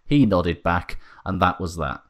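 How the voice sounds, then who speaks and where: A man's voice: sits low, animated, a little slow, a bit bright. A man hosting a podcast, chatting in a wry, casual way and swearing now and then. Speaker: podcast host.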